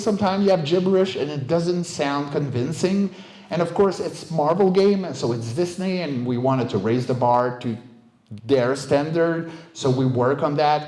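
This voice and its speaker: A man's voice: mid-range voice, a little mellow. Middle-aged man giving a talk, echoey room. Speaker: voice actor, director and coach giving a talk. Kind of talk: informal conference talk, career anecdotes. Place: indoor event room, live talk. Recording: roomy, echoey live talk recording.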